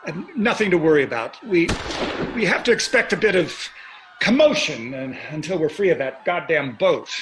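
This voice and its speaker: A man's voice: fairly high pitch, animated, moderate pace, background noise, natural voice. A man acting a wealthy, breezy, self-satisfied husband in a play reading, with a chatty delivery. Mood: reassuring, irritated. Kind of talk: staged play reading over video call.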